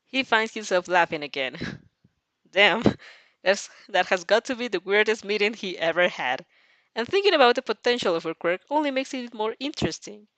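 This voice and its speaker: A woman's voice: medium pitch, a bit bright, no room sound, low-quality recording. A woman casually reading fan fiction aloud and commenting as a reaction host, playful and exclamatory, easily breaking into giggles.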